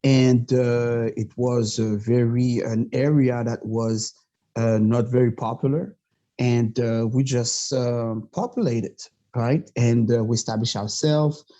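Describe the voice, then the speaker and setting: A man from Montreal whose first language is French, a podcast guest talking in a halting, passionate way with many fillers. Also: medium pitch, fairly even intonation, mellow, highs cut off. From Montreal, Canada. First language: Quebec French. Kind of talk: casual interview talk.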